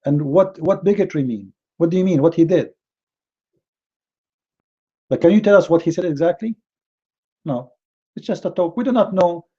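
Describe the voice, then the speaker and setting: A man's voice: a little high, normal speed, long pauses, warm tone, husky, lo-fi sound. A man with a Middle Eastern Arabic accent, speaking English as a second language, heatedly rebutting a critic and often stumbling over words. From Middle East. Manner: indignant, repeats words and restarts often.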